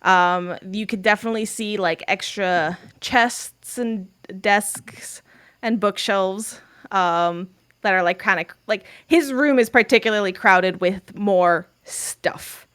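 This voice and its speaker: A woman's voice: medium pitch, slow pace, a bit bright, smooth. A woman narrating a tabletop game as game master, loose and full of fillers.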